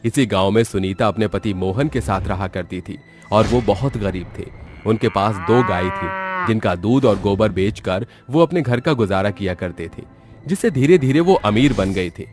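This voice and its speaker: Male storyteller for ghost cartoons: fairly low voice, scripted delivery, background music. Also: moderate pace. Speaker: story narrator. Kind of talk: scripted horror cartoon narration.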